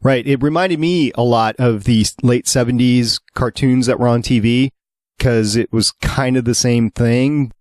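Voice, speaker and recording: A man's voice: mid-range voice, unhurried, darkish tone, no room sound, studio quality. A man hosting a podcast, chatting casually with a panel. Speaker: podcast host.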